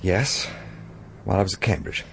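A man's voice: low-pitched, normal speed, creaky, fairly bright tone, a little hiss or hum. An actor playing a wary, educated British man in a radio drama, speaking in a guarded, terse, hesitant way. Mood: subdued, reticent. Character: wary, reserved educated Englishman investigating a sinister scheme.

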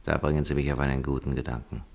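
Deep-voiced, principled gentleman writer. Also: fairly even intonation, rapid-fire, gravelly. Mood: earnest, determined. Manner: earnest, probing, politely ironic. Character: principled male writer, humane, sceptical, quietly stubborn. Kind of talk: German radio play acting.

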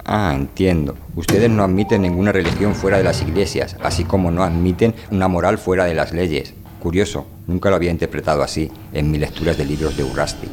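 Radio drama role: calm, inquisitive male scientist from an anarchist society played by a man, deep voice, measured delivery, slight background noise. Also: close to an everyday voice. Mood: thoughtful, questioning.

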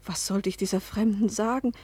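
An actress playing a bewildered, introspective woman of forty-one, narrating and speaking in a German radio play with a hushed, breathy, searching delivery. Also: mid-range voice, fairly even intonation, fairly quick, dry recording. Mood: hushed, pensive.

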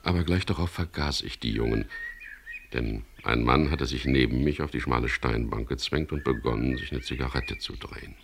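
An actor playing a wry, stubborn adventurer who narrates a radio crime drama in a dry, sardonic manner. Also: male voice, rumbling low, moderate pace, vocal fry, noisy background. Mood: dry narrating. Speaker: narrator and lead character.

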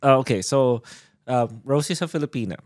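A man's voice: medium pitch, lively intonation, a little fast, no room sound, clean studio sound. Informal male podcast host, hesitant phrasing. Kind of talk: casual interview chat.

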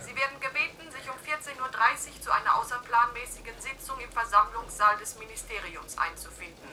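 A woman's voice: fairly high pitch, fairly quick, bright, forceful tone. An actress playing an urgent female ministry announcer in a German radio play, formal and insistent, heard from a distance. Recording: distant from the microphone.

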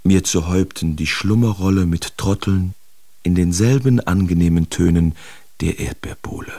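An actor playing a sardonic, self-absorbed bohemian letter writer in a literary radio drama, with theatrical, deliberate diction. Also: male voice, sits low, creaky, mellow, no echo. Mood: grandiose, musing. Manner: theatrical, deliberate, ornate diction with pointed emphasis.

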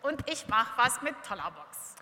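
A woman from Romania, a native Romanian speaker, introduces herself in a brisk, direct way. Her voice is bright and somewhat high. It echoes strongly with a long hall reverb. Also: very animated, slight background noise. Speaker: panelist, entrepreneur.

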